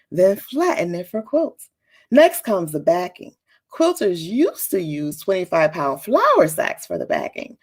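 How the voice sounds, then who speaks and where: A woman's voice: mid-range voice, a little mellow, breathy edge, exaggerated voice. A woman voicing a proud, know-it-all young storybook girl who explains things in an emphatic, teacherly manner. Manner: emphatic, teacherly, eager to show off.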